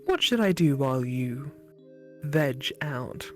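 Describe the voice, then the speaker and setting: A woman voicing a calm, devoted, polite female AI program in a visual novel reading. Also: very deep voice, takes it slowly, soft background music, exaggerated voice. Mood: helpful.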